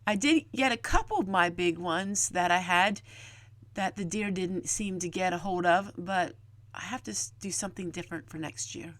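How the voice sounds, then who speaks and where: A woman's voice: on the low side, moderate pace, a bit bright, dry recording. A middle-aged woman narrating a homemaking vlog, explaining chores and cooking in a plain-spoken, folksy way. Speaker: homesteading vlogger and host.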